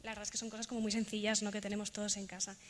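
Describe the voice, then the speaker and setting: A woman presents informally in a big reverberant room with some background noise. Her Spain Spanish is delivered in a fairly flat melody. She is hesitant, often pausing on fillers as she explains to her audience. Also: mid-range voice. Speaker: presenter giving a talk and demonstration. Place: large echoing indoor event space.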